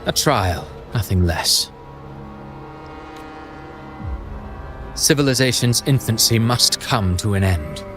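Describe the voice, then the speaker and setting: Casting: a self-important game mastermind, cold and deliberate, fairly deep, speaking in lofty pronouncements over music. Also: male voice, animated. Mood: solemn, condemning. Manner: measured, pompous, philosophical pronouncements. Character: cold, grandiose male mastermind villain, self-styled playwright. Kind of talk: dramatic video game voice acting. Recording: music behind the voice.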